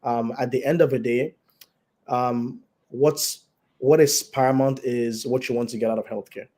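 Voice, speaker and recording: A man with a raspy, flu-hoarse voice, a doctor explaining health care as a guest over a video call, measured and full of fillers. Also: medium pitch, fairly even intonation, warm tone. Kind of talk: explanatory interview talk.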